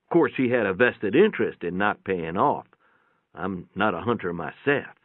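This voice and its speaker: A man's voice: lowish voice, lively intonation, normal speed, no echo, phone-quality sound. A man giving a folksy, plainspoken dramatic reading of a first-person story, with a radio-like sound. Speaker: narrator, reader. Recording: band-limited, radio-like sound.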